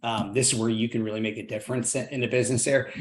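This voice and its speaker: A man's voice: mid-range voice, fairly even intonation, rapid-fire. A man hosting a podcast interview, upbeat and encouraging, often tagging sentences with "right?". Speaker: podcast host, real estate investor.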